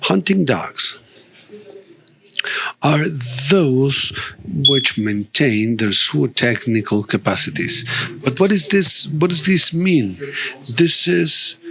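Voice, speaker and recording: An older man giving a formal conference lecture, halting and restarting as he goes, his voice a little worn. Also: medium pitch, sing-song, creaky, pushed and bright. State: losing his voice. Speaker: conference speaker.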